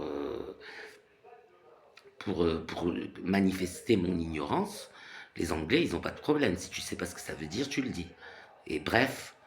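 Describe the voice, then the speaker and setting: A man's voice: low voice, gravelly. A man in his thirties from France, ranting casually in a rambling monologue full of fillers and repeated phrases. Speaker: vlogger.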